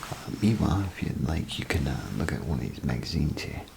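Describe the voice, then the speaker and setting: Very deep, gravelly whispering man. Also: expressive, a little fast, warm tone, a little hiss or hum. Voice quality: gravelly, breathy half-whisper. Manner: rambling, hesitant, folksy. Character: chatty, grumbling male bathroom attendant, down on his luck. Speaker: ASMR performer. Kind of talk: soft-spoken ASMR role play, half whispered.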